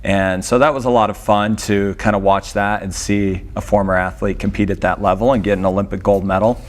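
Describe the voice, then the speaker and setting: A middle-aged man answers interview questions as a guest coach. His voice is fairly low, and he begins his thoughts hesitantly. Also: steady tone, fairly quick.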